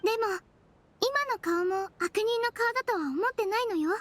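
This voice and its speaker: A woman voicing a small, composed, kindly nonhuman head nurse in an anime-style game, gentle and matter-of-fact. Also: extremely high pitch, lively intonation, moderate pace. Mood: calm, knowing.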